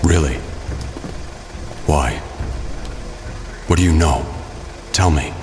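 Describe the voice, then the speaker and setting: An actor playing a stern, commanding masked vigilante in an audio drama, speaking tersely. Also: male voice, a bass voice, slow, echoey room, big-room sound, noisy background. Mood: interrogating.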